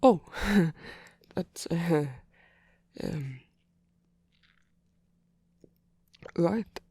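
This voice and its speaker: A performer voicing a flirtatious but flustered, tender male rock frontman in an intimate ASMR romance roleplay, speaking in a hesitant, halting, husky whisper. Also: medium pitch, lively intonation, mellow, no room sound, heavily acted. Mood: flustered, bashful.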